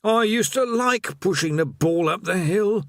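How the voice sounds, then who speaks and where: A man doing a plaintive, high-voiced chained sinner. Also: lively intonation, a little slow, no room sound, studio quality. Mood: wistful. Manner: plaintive, grumbling. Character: weary, chained damned man in hell.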